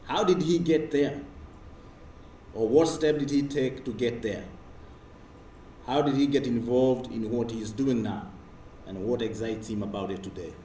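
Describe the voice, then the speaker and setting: A man's voice: mid-range voice, unhurried, long pauses, mellow. A man introducing the guest speaker at a lecture. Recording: roomy, distant mic with faint background noise.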